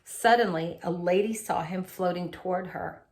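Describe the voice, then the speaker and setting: A woman's voice: lowish voice, normal speed. Woman reading a picture book aloud expressively. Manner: animated, with asides to young listeners.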